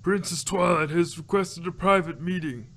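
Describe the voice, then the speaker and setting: A man's voice: high voice, moderate pace, fairly bright tone. A man voicing a dutiful, formal male pony palace guard in a fan fiction audio reading. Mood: deferential, urgent.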